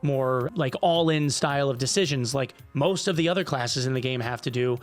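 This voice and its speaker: A man with a raspy, hoarse voice, hosting casual gaming commentary in a loose, conversational way with frequent fillers. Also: mid-range voice, normal speed, soft background music. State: raspy, hoarse voice from a vocal fold polyp. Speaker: gaming YouTuber host.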